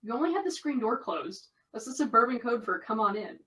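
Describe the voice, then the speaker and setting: A woman's voice: on the high side, very animated, fairly quick, fairly bright tone, far from the mic. A woman playing an earnest, conflicted young American woman in an audio drama, confiding in natural dialogue. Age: young adult.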